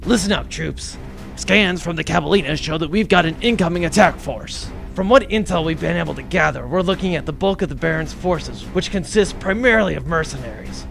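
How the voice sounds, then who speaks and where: A no-nonsense military commander, played by a man, with a gravelly, fairly high male voice. He briefs in clipped, serious terms in a sci-fi radio play, with music behind him. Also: expressive, a little fast, fairly bright tone. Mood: brisk, serious. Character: no-nonsense veteran military commander drilling rebel troops. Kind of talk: comedic sci-fi audio drama acting.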